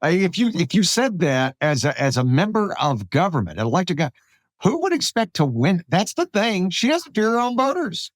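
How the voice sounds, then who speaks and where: Male interviewer, casual and insistent, animated intonation, dry close sound. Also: sits high, normal speed, no echo. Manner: insistent questioning, repeats phrases. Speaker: podcast host, interviewer.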